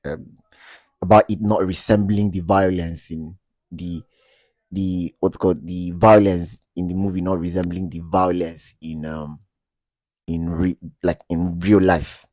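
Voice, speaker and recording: Animated low-voiced man. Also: measured pace, no echo. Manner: animated, defensive, laughs often, many restarts. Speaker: podcast co-host. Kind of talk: casual chat.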